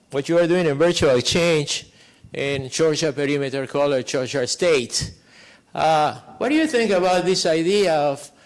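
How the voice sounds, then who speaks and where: Animated non-native English man moderating. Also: fairly high pitch. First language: not English. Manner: animated, rambling, asks the audience questions. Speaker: moderator, professor. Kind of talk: panel moderation.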